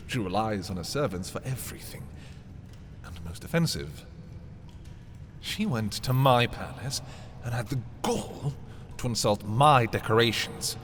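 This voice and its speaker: A haughty young prince of dark fantasy audio drama, acted by a man, speaks in a fairly low voice with very animated, swinging intonation. He is possessive and scheming, delivering his words theatrically over a faint background noise. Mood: indignant. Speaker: voice performer. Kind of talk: dramatic roleplay audio, ASMR fantasy monologue.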